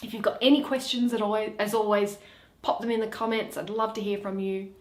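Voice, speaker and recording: A woman's voice: mid-range voice, fairly even intonation, brisk. A woman hosting a reading series, chatting casually to viewers in a roomy space. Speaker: host and reader of a Shakespeare series. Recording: roomy, echoing.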